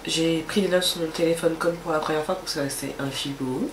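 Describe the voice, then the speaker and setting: A woman's voice: sits low, fairly even intonation, fairly quick, reverberant, a little hiss or hum, rough recording. A woman with a stuffy, nasal voice from a cold, chatting casually to camera in a rambling way with many hesitations. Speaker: vlogger.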